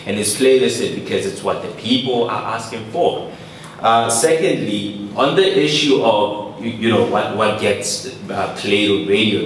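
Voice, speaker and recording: A man's voice: lowish voice, fairly bright tone. Echoey young man, tentative questioner. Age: young adult. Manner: tentative, circling phrasing with tag questions. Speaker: audience participant asking a question. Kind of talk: impromptu question at a panel discussion. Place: large lecture hall. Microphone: handheld microphone. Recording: echoey hall, distant, faint noise.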